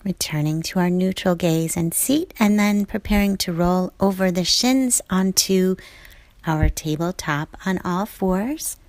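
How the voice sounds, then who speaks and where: A woman leading a guided yoga class, giving calm, measured cues in short phrases, with a soft voice that turns breathy at phrase ends. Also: fairly low pitch, no room sound, poor audio. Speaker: yoga instructor.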